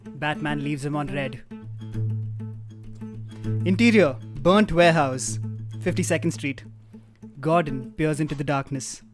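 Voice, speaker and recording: A man narrating stage directions for a comic table read, with wry, deadpan asides. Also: a little high, measured pace, long pauses. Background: live guitar music.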